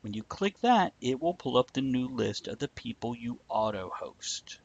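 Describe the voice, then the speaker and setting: A man's voice: medium pitch, sing-song, moderate pace, creaky. Dull lo-fi recording, jokey male streamer. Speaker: livestream show host. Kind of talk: casual joking banter and step-by-step explaining. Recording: dull lo-fi livestream audio.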